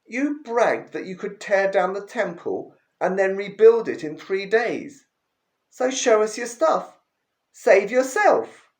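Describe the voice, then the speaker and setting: A man voicing a loud jeering mob. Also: high-pitched, animated, slow, voice pushed up, heavily acted. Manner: loud taunting, sneering. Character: jeering mocking crowd of men. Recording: roomy echo.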